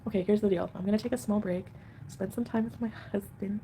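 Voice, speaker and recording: A woman's voice: mid-range voice, steady tone, fast, warm tone, a little hiss or hum, low-quality recording. A woman hosting a casual livestream at home, chatting with viewers and answering comments in a rambling, defensive way. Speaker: livestream host.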